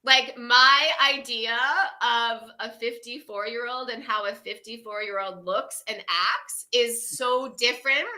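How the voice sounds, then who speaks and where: A woman's voice: on the high side, unhurried, few pauses, sharp tone. A woman in her fifties co-hosting a wellness livestream, talking in a casual, effusive way and laughing often. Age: 50s.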